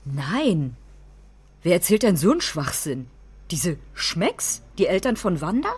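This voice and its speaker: A radio play mother, low and husky. She is stern and proud, with a sarcastic edge, and her pitch swings widely. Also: sing-song, a little slow, darkish tone, full character voice. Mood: stern, irritated. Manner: brusque, sarcastic.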